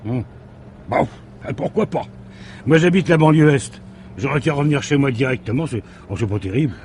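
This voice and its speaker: A man's voice: medium pitch, normal speed, a little mellow, slight background noise, close to an everyday voice. A man playing a plain-spoken, obliging suburban driver in a French radio mystery drama, musing in colloquial phrases.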